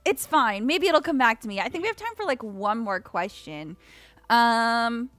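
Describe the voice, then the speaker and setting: A woman's voice: fairly high pitch, expressive, normal speed, fairly bright tone, no echo, soft background music. A woman hosting a casual chat with guests, reacting with gushing exclamations and laughter. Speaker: host. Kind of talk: casual video-call chat.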